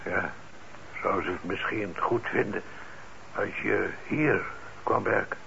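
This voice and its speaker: An actor playing a kindly, gruff older shopkeeper and father in a radio drama, talking in a gravelly voice with hesitant pauses. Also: fairly low pitch, pushed and bright, full character voice. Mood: gentle, coaxing. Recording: dull, noisy old radio recording.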